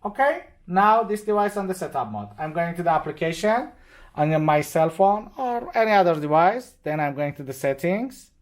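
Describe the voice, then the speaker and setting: A man with non-native, halting English walks step by step through an unscripted product demonstration. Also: high voice, very animated. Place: indoors at a desk with devices.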